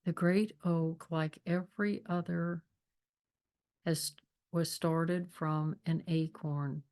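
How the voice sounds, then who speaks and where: A woman leading a guided meditation with spiritual readings, speaking in a measured, deliberate way with long pauses. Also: lowish voice, fairly even intonation, darkish tone. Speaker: meditation leader, spiritual minister.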